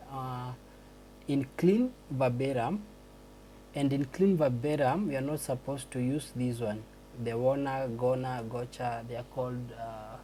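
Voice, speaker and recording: Man, slow hesitant tutorial talk, mellow tone, faint hum behind. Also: medium pitch, low-quality recording. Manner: slow, hesitant explaining with restarts. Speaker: transcription tutor. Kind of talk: screen-recorded tutorial walkthrough.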